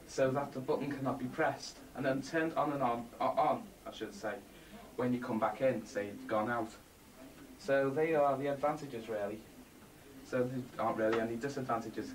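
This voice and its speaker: A man giving a rambling, half-scripted amateur presentation, halting and matter-of-fact with blunt asides. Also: medium pitch. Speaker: presenter of a homemade design project video. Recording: distant mic, faint background noise, amateur home video.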